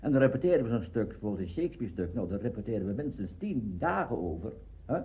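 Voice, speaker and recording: Animated older man reminiscing, dull recording. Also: fairly low pitch, fast. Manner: animated, conversational. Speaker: interviewee, veteran radio actor. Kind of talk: anecdotal reminiscence. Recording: dull archive interview recording.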